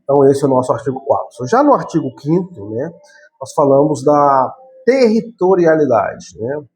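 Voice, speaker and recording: Brazilian guy teaching law, warm mellow voice, keeps tossing in little tag questions, chatty lecture. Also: a little high, big pitch swings, moderate pace. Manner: conversational, frequent tag questions, repeats and self-corrects. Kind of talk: explanatory lecture.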